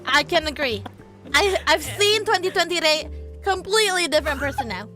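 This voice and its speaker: A woman's voice: high voice, expressive, brisk, pushed and bright, faint music behind. A woman streamer chatting casually while gaming, laid-back, often answering with short agreeing murmurs.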